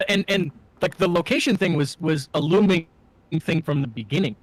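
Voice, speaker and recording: Rambling young man, higher-pitched, dry sound. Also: moderate pace, no room sound. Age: young adult. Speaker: podcast co-host and student filmmaker. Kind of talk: casual chat.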